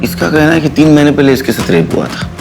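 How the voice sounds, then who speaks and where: A man's voice: a little high, sing-song, fast. A man playing a stern, probing senior police officer in a TV crime drama, giving terse orders and questions with authority. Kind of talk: Hindi TV police procedural acting. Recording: music under dialogue.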